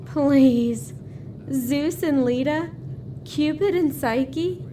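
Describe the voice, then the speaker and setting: A woman's voice: sits high, drawn-out words, warm tone, a little hiss or hum. A woman playing a bookish, sardonic teenage girl in an audio horror drama, speaking with dry disdain. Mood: scornful.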